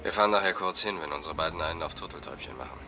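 A man's voice: a bass voice, lively intonation, racing, gravelly, over music, exaggerated voice. An actor playing a coarse, blunt male crook in a German radio crime drama. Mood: dry, crude. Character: low-voiced, crude male crook in a gang.

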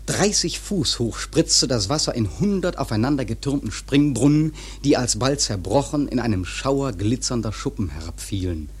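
An actor playing a grave seafaring storyteller, narrating a radio drama in a husky, measured, literary way. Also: male voice, mid-range voice. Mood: intense, awed. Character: grave male seafaring storyteller recounting a whale hunt.

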